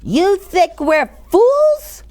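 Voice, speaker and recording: A woman voicing proud, indignant, foolish storybook villagers with huffy, exaggerated, drawn-out exclamations. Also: very high-pitched, very animated, darkish tone, pitched above the performer's own voice, full character voice. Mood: suspicious.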